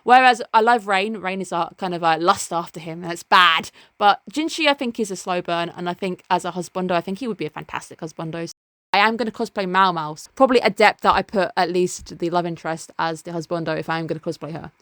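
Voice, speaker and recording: A woman reviewing anime in a casual, chatty way. She sounds enthusiastic and adds quick asides. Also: lowish voice, a bit bright, dry recording. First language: English.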